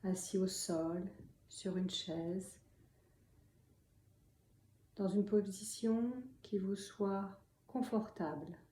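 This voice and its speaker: A woman leads a guided meditation in a very mellow, soft and breathy voice, often close to whispering. She speaks slowly and calmly, leaving long pauses between gentle instructions. The room adds noticeable echo. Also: mid-range voice. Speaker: meditation and yoga teacher. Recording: roomy echo, faint background noise.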